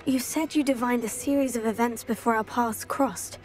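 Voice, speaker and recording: Composed young heroine, played by a woman, higher-pitched. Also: steady tone, normal speed, soft background music, close to an everyday voice. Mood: inquisitive. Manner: polite, composed, probing. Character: polite, questioning young student witch, game heroine. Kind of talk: scripted fantasy game dialogue.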